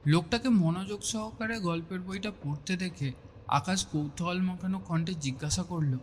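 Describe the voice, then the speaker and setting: A man's voice: high voice, moderate pace, slight background noise. A man giving a scripted narration of a horror story. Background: music.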